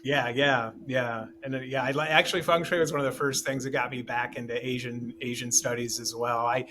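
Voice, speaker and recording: A man rambles through his thoughts as a podcast guest, circling back and restarting often. His pitch sits in an ordinary middle range. Plenty of steady noise runs under the recording. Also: brisk. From Taiwan. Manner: rambling, many restarts and fillers. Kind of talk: casual conversation.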